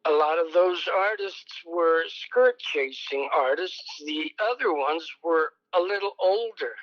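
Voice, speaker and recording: Older man, fairly high voice, slow and halting, muffled lo-fi sound. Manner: slow, rambling, many ums and pauses. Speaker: songwriter guest. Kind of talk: reflective oral history interview.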